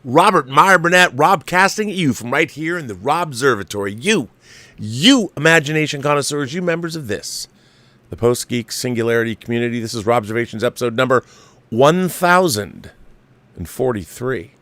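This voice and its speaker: A man's voice: medium pitch, expressive, normal speed, sharp tone, no echo. Man hosting a dry-sounding film commentary stream. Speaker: host of a film commentary livestream. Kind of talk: casual opinionated film review monologue.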